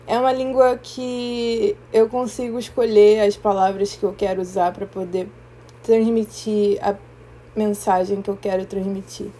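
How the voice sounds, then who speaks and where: A young woman with a Brazilian Portuguese accent, hosting a podcast in a reflective personal monologue, thoughtful and often pausing to search for the right word. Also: mid-range voice, level tone, warm tone. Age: young adult. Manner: thoughtful, searching for words, pauses and restarts. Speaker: podcast host.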